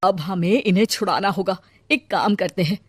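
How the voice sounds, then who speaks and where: A woman voicing an anxious, pleading village mother in a cartoon story, expressive and drawn-out. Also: sits high, no echo. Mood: alarmed.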